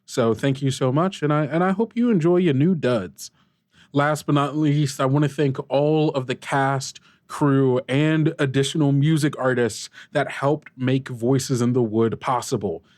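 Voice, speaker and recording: Male podcast host, mid pitch, rambling and self-deprecating, informal address to listeners, dry close recording. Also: darkish tone, no echo. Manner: rambling, self-deprecating, halting with repeated words. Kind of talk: casual radio-DJ style host chat to listeners.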